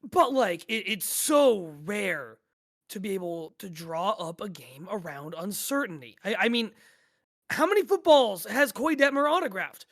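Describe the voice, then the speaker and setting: A man's voice: high voice, animated, a little slow, fairly bright tone, full character voice. A man acting a weary, dejected game player in a naturalistic audio drama table read, hesitant and rambling, with some swearing. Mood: wistful, earnest.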